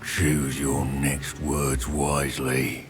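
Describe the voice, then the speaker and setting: A man voicing a menacing, arrogant goblin villain in a video game, growling mockingly polite threats in a deliberate, cold manner. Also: a bass voice, flat delivery, exaggerated voice. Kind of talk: cinematic video game acting. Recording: loud music under the voice.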